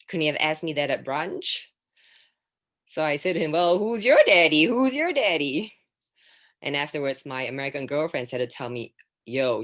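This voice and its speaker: A young woman from Singapore telling jokes in a stand-up set. Her voice sits low, then jumps into shrill bursts on punchlines. She keeps a dry, deadpan tone between them. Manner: deadpan delivery with sudden high-pitched exclamations. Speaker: stand-up comedian.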